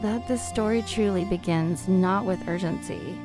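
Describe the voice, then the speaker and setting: A woman narrating a guided sleep story in a calm, soothing, unhurried manner. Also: mid-range voice. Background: background music.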